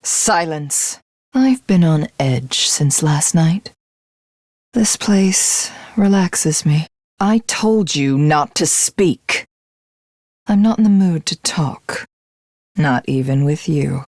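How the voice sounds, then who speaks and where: Game villainess: proud alien supreme commander, imperious and measured, mid-pitched with animated intonation, unhurried delivery. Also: female voice, no echo, studio quality, exaggerated voice. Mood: curt, commanding. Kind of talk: video game character voice acting.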